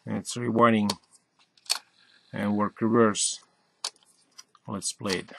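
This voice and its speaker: Male reviewer, lowish pitch, plain-spoken and halting, casual demo delivery, noisy desk recording. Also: steady tone. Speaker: reviewer and channel host. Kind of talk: casual hands-on gadget demonstration. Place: at a cluttered desk indoors. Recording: clearly noisy, clicks and typing sounds.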